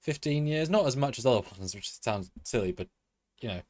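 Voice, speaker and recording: A man's voice: mid-range voice, lively intonation, fairly quick, darkish tone, no room sound. A man co-hosting a live review show, rambling in a chatty way with many fillers and restarts. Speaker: co-host. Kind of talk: live-stream review chat.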